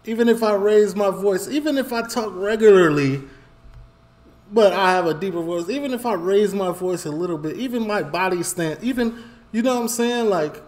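A man's voice: sits high, normal speed. A man, reacting to a police show in casual, rambling commentary that circles and repeats itself. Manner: animated, rambling, repeats phrases, gestures while talking. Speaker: reaction video host.

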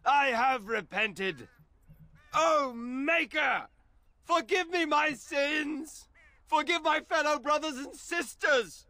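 A man playing a frantic doomsaying zealot in a fantasy video game, ranting in fervent, declamatory shouts. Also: extremely high pitch, slow, no echo, full character voice. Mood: desperate pleading.

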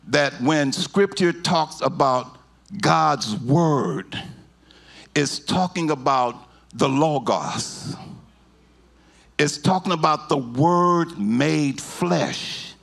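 Gravelly, bright-voiced preacher shouting in crescendos. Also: male voice, expressive. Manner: swings from low, slow teaching to shouted, sung-out climaxes. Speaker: pastor. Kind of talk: sermon. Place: church sanctuary. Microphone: handheld mic.

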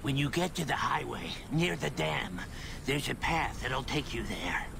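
A man's voice: medium pitch, animated, unhurried. An actor playing a cranky, suspicious old man, a blunt and folksy survivor in a video game cutscene. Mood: wary. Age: older. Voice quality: gravelly. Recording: game audio with clear background noise.

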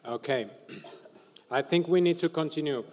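A middle-aged man chairing a medical conference session, introducing speakers with many hesitant pauses. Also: a little high, a little mellow. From Finland. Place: large conference hall stage. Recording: reverberant hall.

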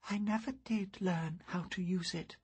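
A man voicing a gentle, thoughtful young woman who speaks softly. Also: high-pitched, big pitch swings, measured pace, husky, pitched above the performer's own voice, exaggerated voice. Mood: sorrowful. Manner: soft and hushed.

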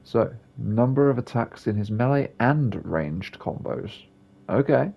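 A man with a gravelly, husky voice giving casual, rambling commentary as he plays a video game. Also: mid-range voice, expressive, unhurried, muffled recording. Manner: rambling, offhand. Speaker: gaming video creator.

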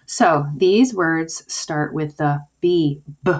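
A woman teacher giving a video lesson to young children in simple, deliberate phrases. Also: deep voice. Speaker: early-years school teacher. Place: indoors at a table, homemade video. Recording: dull lo-fi sound, clear noise.

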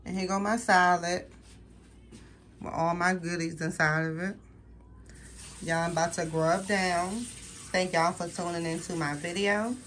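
A woman's voice: lowish voice, steady tone, measured pace, long pauses, a bit bright, slight background noise. A woman from the chatting casually to her viewers in a home kitchen, filming on her phone, folksy and talkative. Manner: chatty, folksy, talks straight to viewers as y'all. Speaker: home cooking vlogger. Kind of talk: casual cooking video chat to viewers.

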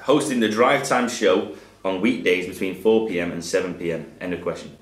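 A man's voice: low voice, moderate pace. A man with a Northern English accent reading quiz questions to players in a social club, in a dry, plain way. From England. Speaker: quizmaster. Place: social club function room with a table of players. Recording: roomy hall sound with faint background noise.

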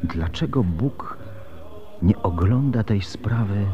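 A man's voice: lowish voice, lively intonation, takes it slowly, dull audio, faint music behind. An actor playing a worried, protective Byzantine husband and father in a radio drama, speaking earnestly. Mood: grave, pondering.

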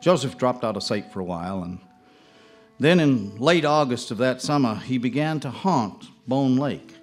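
An actor plays a plainspoken small-town man telling a grim tale. His mid-pitched voice has a folksy, colloquial manner, with music behind it in radio-drama style. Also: animated, normal speed, close to an everyday voice. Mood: reflective, grim. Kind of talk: live audio drama narration.

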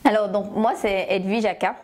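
A woman in her thirties from Côte d'Ivoire with an Ivorian French accent, chatting as an interview guest, full of fillers and self-corrections, on a lapel mic in a bright studio room. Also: mid-range voice, steady tone, moderate pace, slight background noise. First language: Agni. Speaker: guest, love shop founder. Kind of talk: relaxed interview answers.

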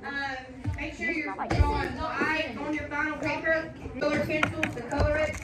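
A woman's voice: high voice, flat delivery, normal speed. A woman teacher giving directive instructions to a class. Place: indoor school classroom. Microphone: handheld phone at a distance. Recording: echoey, noisy classroom, distant from mic. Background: loud student chatter and clatter.

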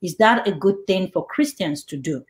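A woman's voice: lowish voice, animated, normal speed. A woman hosting an online church interview over a video call, reading out viewer questions and affirming answers. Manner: reads out viewer questions, warm laughs, affirming. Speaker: host.

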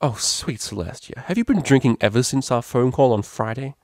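A man's voice: mid-range voice, animated, brisk, darkish tone, no room sound, clean studio sound, pitched above the performer's own voice, natural voice. A man voicing a refined, caring female cellist pony in a storybook, with prim and formal wording. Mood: puzzled.

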